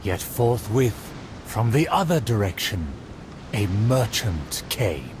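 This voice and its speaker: An actor narrating a storybook-like play in a game, in a formal, dramatic storytelling manner. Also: male voice, on the low side, very animated, slow, background noise. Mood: stately. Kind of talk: scripted theatrical narration.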